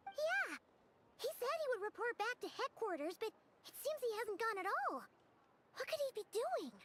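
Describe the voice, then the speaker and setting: Tiny eager fairy sidekick for a game: extremely high childlike squeak, lively melodic delivery. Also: female voice, moderate pace, exaggerated voice. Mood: surprised, curious. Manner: exclaiming, wondering questions. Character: tiny excitable childlike female fairy companion, naive and eager. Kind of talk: scripted game dialogue.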